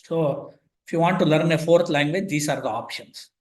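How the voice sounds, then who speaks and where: Older Indian English-accented professor, native Kannada speaker, explanatory lecture with dry asides, reverberant room. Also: male voice, fairly high pitch, normal speed, darkish tone. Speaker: visiting professor. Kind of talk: online lecture.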